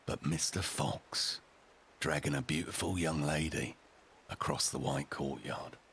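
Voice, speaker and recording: A man voicing a rough and ready, foul-mouthed storyteller, narrating a storybook tale theatrically with deliberate, dramatic pauses. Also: very deep voice, fairly bright tone, a little hiss or hum, heavily acted. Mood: gruff, dramatic.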